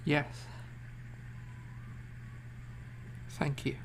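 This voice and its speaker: A man acting a weary, feverish young gentleman composer who speaks in halting, formal, courteous phrases in a period audio drama. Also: slight background noise, natural voice. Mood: grateful, weary. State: feverish, weak.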